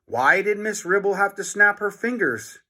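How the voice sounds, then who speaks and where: A man's voice: sits high, lively intonation, normal speed, sharp tone, pitched above the performer's own voice, exaggerated voice. A man voicing frantic, pleading schoolboys in trouble from a children's storybook, exclaiming as he reads. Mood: alarmed. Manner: stammering, exclaiming. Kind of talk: children's storybook character reading.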